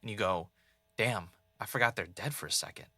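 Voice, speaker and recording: Bright, low-pitched man as an actor playing haunted podcaster. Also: fairly quick, dry recording. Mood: wistful, aching. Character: former reality show contestant turned podcaster, haunted, defensive man. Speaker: podcast host. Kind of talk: naturalistic audio drama dialogue.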